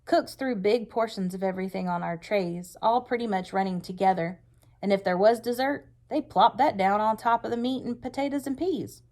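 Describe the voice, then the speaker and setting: A woman narrating a story aloud in an expressive read-aloud style. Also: medium pitch, normal speed.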